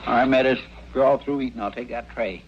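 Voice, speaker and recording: A man's voice: medium pitch, steady tone, fast, close to an everyday voice. A man acting a flustered, folksy jail deputy in an old radio western, with a rural American western drawl and a stammering, rambling way of talking. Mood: testy. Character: flustered, put-upon male frontier jail deputy, folksy and hapless. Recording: muffled old radio recording, faint hiss.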